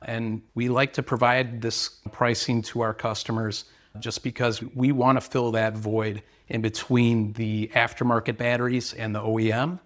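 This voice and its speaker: A man's voice: mid-range voice, little pitch movement, moderate pace, a bit bright. A man, an earnest, sales-minded company representative explaining products and service in interview answers.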